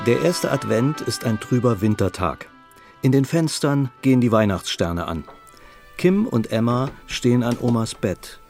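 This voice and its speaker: A man narrating a bittersweet family radio play, understated and wry, with a creaky voice. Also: medium pitch, expressive, a little slow, a little mellow, soft background music. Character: male storyteller of a bittersweet family tale.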